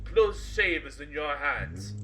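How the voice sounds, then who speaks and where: A woman as a lively, anxious barbershop owner. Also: low-pitched, slow, very noisy, exaggerated voice. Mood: weary, dry. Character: anxious, weary barbershop owner helping a crocodile. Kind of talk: amateur radio play acting.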